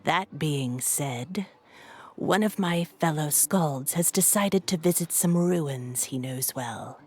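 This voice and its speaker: Slow, low-voiced solemn clan elder. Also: female voice, slightly rough, exaggerated voice. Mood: grave. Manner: grave, measured storytelling. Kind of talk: video game cutscene acting.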